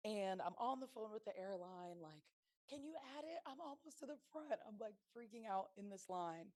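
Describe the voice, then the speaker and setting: A woman in her late twenties with a raspy, strained voice, giving a chatty, self-deprecating prepared speech about her travels. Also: mid-range voice, a little mellow. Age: late 20s. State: voice strained from singing. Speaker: club speaker. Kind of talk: prepared personal story speech.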